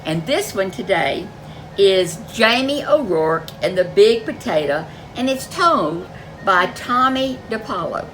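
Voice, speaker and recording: A woman storyteller reading a folk tale picture book aloud in a gentle, expressive way. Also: medium pitch, slow pace, soft background music, low-quality recording.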